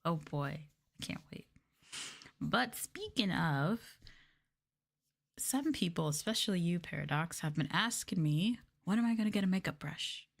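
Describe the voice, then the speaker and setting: A woman streamer chatting softly and gently in an ASMR style, often slipping into a whisper, close to the microphone. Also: lowish voice, expressive, unhurried, gravelly. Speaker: streamer host of an ASMR livestream. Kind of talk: soft-spoken ASMR chat with whispering. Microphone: close microphone for headphone listening.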